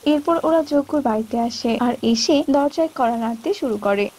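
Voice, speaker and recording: A woman's voice: high voice, normal speed, warm tone, husky. A woman narrating a scripted children's folk tale as storyteller.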